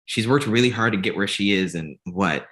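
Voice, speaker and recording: A man's voice: lowish voice, moderate pace, fairly bright tone, natural voice. A man playing a blunt, condescending and coolly antagonistic friend in a naturalistic comedy table read. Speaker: actor in a screenplay table read.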